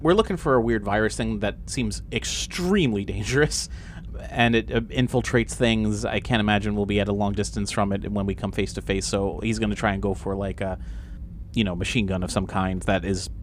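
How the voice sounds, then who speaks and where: A man's voice: lowish voice, brisk, a little hiss or hum. A man chatting casually as a game player, thinking aloud as he describes his character's actions.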